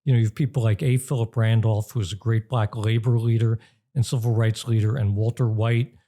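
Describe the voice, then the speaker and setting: Very dark-voiced, deliberate older American man. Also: on the low side, level tone. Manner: deliberate, emphatic, pausing mid-phrase. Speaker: interview guest, journalism professor and author. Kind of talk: explanatory interview talk.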